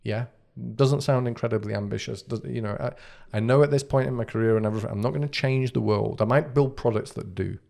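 Gravelly man with a Scottish twang. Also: medium pitch, brisk, few pauses, darkish tone. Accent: mixed British with a Scottish twang. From Scotland. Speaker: guest, agile consultant. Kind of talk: casual podcast interview. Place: small acoustically treated podcast studio. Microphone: close studio microphone on a boom arm.

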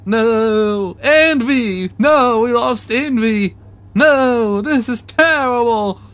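Man acting a high-pitched, melodramatic, rambling lovelorn sin. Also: extremely slow, fairly bright tone, clean tone, exaggerated voice. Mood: mock grief. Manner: rambling, stammering, melodramatic wailing. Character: dramatic, scatterbrained male sin of lust in comedy dub. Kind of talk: improvised comedic game dub.

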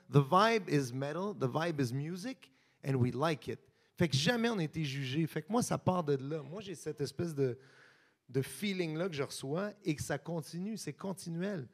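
A man with a Québécois French accent speaking on a theatre panel through a handheld mic, telling stories in a reflective way and switching between French and English. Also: fairly high pitch. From Quebec, Montreal area. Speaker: panelist, musician. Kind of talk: panel discussion. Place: stage in a theatre, panel in front of an audience.

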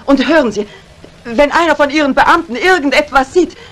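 An actress playing a brisk, dry-witted, commanding mistress of the house in a 1950s German radio mystery. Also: female voice, sits high, fairly bright tone. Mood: urgent, controlled. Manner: composed, commanding, wry. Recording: noisy old 1950s radio recording.

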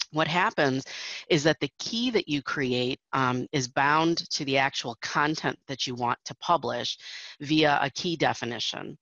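A woman's voice: very deep voice, fairly bright tone, no echo, lo-fi sound. A woman presenting a technical webinar over slides, explaining steadily with frequent fillers.

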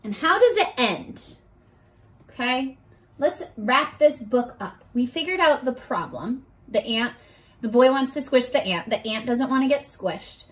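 Animated high-pitched young woman teaching children. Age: young adult. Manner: animated, exaggerated, asks questions and pauses for answers. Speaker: elementary school teacher. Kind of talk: read-aloud lesson for young children. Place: bedroom, indoors. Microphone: camera a short distance in front.

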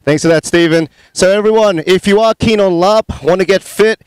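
A young man hosts an outdoor chat into a handheld mic. He talks in a fairly high register and hesitates often with little fillers. The sound is crisp and dry. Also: moderate pace, dry recording, rough recording. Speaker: larp club leader, host. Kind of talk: casual group interview. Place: outdoors at a convention, sunny courtyard.

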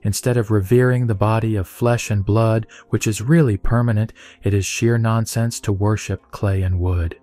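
A man reading a Buddhist scripture aloud in a measured, solemn recitation. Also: fairly low pitch, steady tone, mellow, no room sound, soft background music. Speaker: sutra reader.

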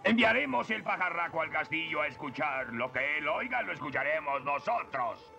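Scheming sorcerer villain, gravelly, highish, theatrical menace, cartoon dub, music behind. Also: male voice, very animated, normal speed, pushed and bright, heavily acted. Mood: angry, scheming. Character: scheming, bad-tempered male skeleton-faced sorcerer villain.

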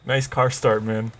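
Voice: medium pitch, slightly rough. A gamer chatting casually during online play in a silly, joking, sweary manner, with music behind. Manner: playful, silly, often laughing, swearing. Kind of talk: casual joking gameplay chat.

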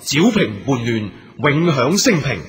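An actor playing an earnest, righteous imperial minister in a radio drama, formal and declamatory. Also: male voice, medium pitch, big pitch swings, takes it slowly, fairly bright tone. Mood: respectful, resolute. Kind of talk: Cantonese radio drama acting. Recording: long hall reverb.

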